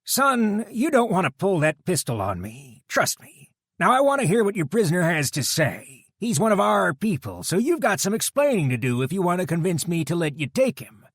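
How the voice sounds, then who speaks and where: A man voicing a gruff, commanding biker deputy with a drawling, authoritative delivery. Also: high-pitched, sing-song, moderate pace, fairly bright tone, no room sound, clean studio sound, pitched above the performer's own voice, full character voice.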